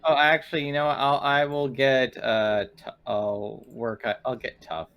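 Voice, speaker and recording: A man chatting casually as a game player, halting and often repeating his words as he reasons. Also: medium pitch, little pitch movement, dull audio, faint music behind. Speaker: player in a tabletop roleplaying game. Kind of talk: casual chat.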